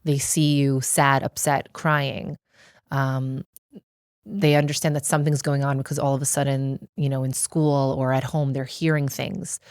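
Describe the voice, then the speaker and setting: Middle-aged American woman, deep voice, dry close sound; earnest interview guest who explains things carefully. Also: little pitch movement, a little slow, a little mellow, no echo. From United States. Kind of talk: reflective personal interview.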